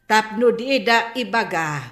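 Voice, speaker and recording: A woman's voice: a little high, unhurried, a bit bright, reverberant. A woman with a Filipino accent playing a disapproving mother of a grown daughter, admonishing her in a comedy radio drama. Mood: scolding.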